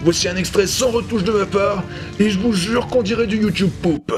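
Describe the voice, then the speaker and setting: A man's voice: high voice, normal speed. A man delivering sarcastic, crude, scripted comic narration as host of a retro video review. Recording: music behind the voice.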